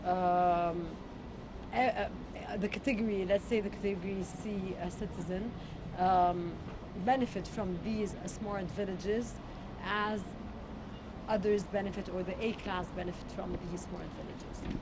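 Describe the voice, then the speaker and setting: An interviewer asking formal questions in a hesitant, halting way. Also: mid-range voice, fairly even intonation, noisy background.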